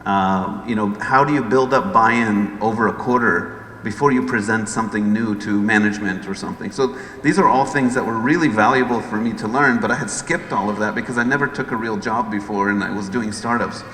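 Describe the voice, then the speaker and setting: A man's voice: lowish voice, sharp tone. A middle-aged man with an American accent speaking conversationally as a guest at a talk, recounting his own career. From Los Angeles, United States. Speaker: guest speaker, chief executive. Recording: echoey hall with background noise.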